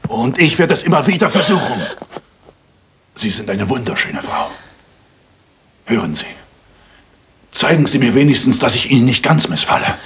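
An actor playing a coarse, pushy rich suitor in a radio play, insistent and leering, with a rough husky voice. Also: male voice, medium pitch, sing-song, normal speed, pauses often, a bit bright. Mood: lecherous, menacing. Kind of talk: dramatic German radio play acting.

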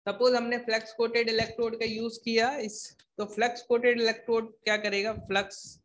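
A man's voice: very high voice, flat delivery, fairly quick, a bit bright. A man giving an explanatory technical lecture as an engineering teacher, asking and answering his own questions, repeating points and mixing in English technical terms.

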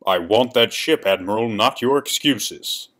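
A man voicing a menacing, commanding space villain with slow, stern, imperious delivery. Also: a bit bright. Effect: pitch shift and reverb on some takes. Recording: echoing, reverberant voice.

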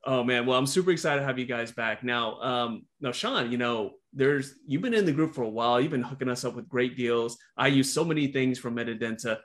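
A man's voice: mid-range voice, a little fast, hardly any pauses. A middle-aged man hosting a live stream over a video call, chatting casually as he introduces his guests. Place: indoor office.

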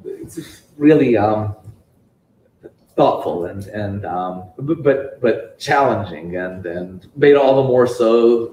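A man giving a public talk at a bookstore event, speaking haltingly with frequent restarts and "you know"s. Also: lowish voice, animated, warm tone. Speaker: guest speaker. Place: bookstore event space with audience. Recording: echoey room.

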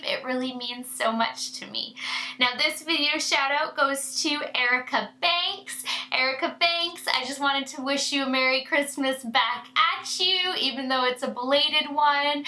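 A woman in her thirties talks straight to camera from a room lined with makeup shelves. She sounds stuffed-up and nasal from a sinus infection, chatty and effusive, with a high, bright voice. The room adds a noticeable echo. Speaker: beauty vlogger. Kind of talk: casual to-camera review.

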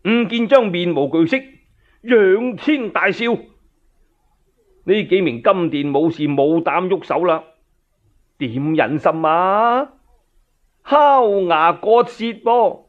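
A man's voice: high voice, slow pace, pauses often, highs cut off. A man with a Cantonese accent narrating a dramatic tale as a solo radio storyteller.

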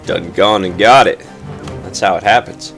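A man's voice: deep voice, moderate pace. A young man hosting a casual let's play, chatty and playful, a little stuffed up. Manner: chatty, playful, easily excited. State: slightly stuffed up. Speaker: gaming channel host. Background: game music.

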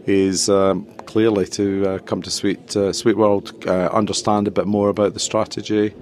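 A man's voice: lowish voice, flat delivery, normal speed, a little mellow. A middle-aged man with a Scottish accent, explaining things conversationally as a guest in a casual podcast interview. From Scotland. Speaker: interview guest, tech company chief executive.

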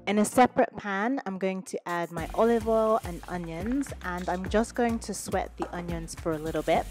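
A woman's voice: mid-range voice, moderate pace. Woman doing a chill cooking voiceover, step by step, music playing under her. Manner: relaxed step-by-step instructions. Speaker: cooking video host. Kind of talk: cooking voice-over narration. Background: background music.

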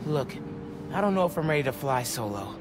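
An actor playing an earnest, unsure teenage superhero with an American accent, eager and modest, in a video game cutscene. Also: male voice, medium pitch, very animated, fairly quick, noisy background, close to an everyday voice. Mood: modest, anxious.

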